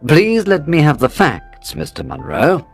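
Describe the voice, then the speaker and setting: A man voicing a confident Victorian detective who reasons aloud in a measured, slightly impatient way. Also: on the high side, wildly swooping pitch, vocal fry, faint music behind, voice pushed up, full character voice. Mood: crisp.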